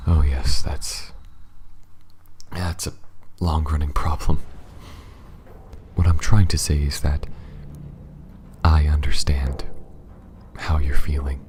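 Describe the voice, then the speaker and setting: A man playing a gentle, apologetic alien pilot in an ASMR audio roleplay, speaking softly, often near a whisper, in a careful, halting, formal and reassuring way. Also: a little hiss or hum. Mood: sympathetic, reassuring. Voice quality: soft, very low, breathy, often near whisper. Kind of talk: ASMR audio roleplay, scripted monologue addressed to listener.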